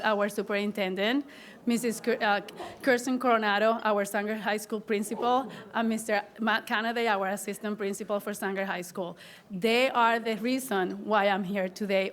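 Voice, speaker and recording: A middle-aged woman with a strong Puerto Rican accent, giving an earnest, grateful acceptance speech as an honored teacher, often restarting her phrases. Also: mid-range voice. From Puerto Rico.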